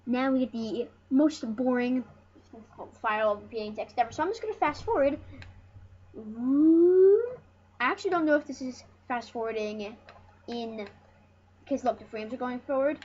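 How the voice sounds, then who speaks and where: An excitable, rambling host giving casual, jokey commentary over a video game. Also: sits high, normal speed, breathy edge. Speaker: host of a gaming channel. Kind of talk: casual let's play commentary. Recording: dull lo-fi mic with loud noise.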